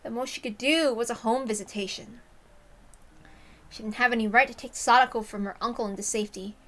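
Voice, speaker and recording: A woman narrating a visual novel aloud in an expressive style, with casual asides. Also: fairly high pitch, fairly quick.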